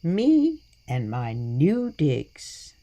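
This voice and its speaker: An elderly grandmother, played by an actress in a radio drama, weary but sweet and wry. She speaks slowly and rather low for a woman, with very expressive rises and falls. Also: music in the background, full character voice. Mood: sweet, musing. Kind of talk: radio play acting.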